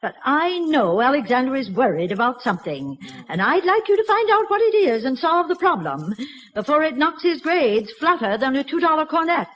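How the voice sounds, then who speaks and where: Prim, swooping high-voiced schoolteacher. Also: female voice, big pitch swings, moderate pace, a bit bright, rough recording. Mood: solemn, comic. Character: prim, fussy schoolteacher who slips into comic slang. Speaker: actress in a radio sitcom. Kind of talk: 1940s radio sitcom acting. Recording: vintage 1940s radio broadcast.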